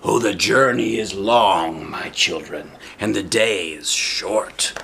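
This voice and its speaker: A man voicing a cunning old wolf posing as a kindly grandmother, coaxing in a drawn-out low voice for a storybook. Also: gravelly, pushed and bright, a little hiss or hum, heavily acted. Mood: sly, coaxing.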